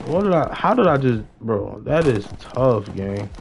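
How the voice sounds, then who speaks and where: A man's voice: medium pitch, very animated, measured pace, creaky, slight background noise. A young man chatting casually on a gaming livestream in slangy talk, often repeating his phrases. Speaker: live streamer.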